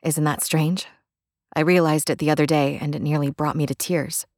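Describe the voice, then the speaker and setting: Woman playing a stubborn, earnest younger sister, low-voiced. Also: steady tone, moderate pace, no echo, studio quality. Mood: wistful hurt. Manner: earnest, challenging.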